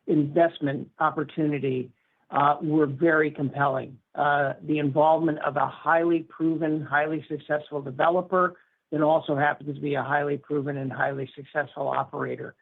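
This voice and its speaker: A male company executive answering questions on a conference call in a measured, formal and emphatic way. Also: fairly high pitch, vocal fry. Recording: narrowband conference phone line.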